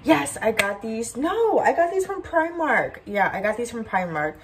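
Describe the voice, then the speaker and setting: A woman's voice: fairly high pitch, big pitch swings, normal speed, noisy background. A woman in her twenties chatting casually to her phone camera as a lifestyle vlogger, rambling and correcting herself as she goes. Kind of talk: casual vlog chat to camera. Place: bedroom indoors, later backyard outdoors.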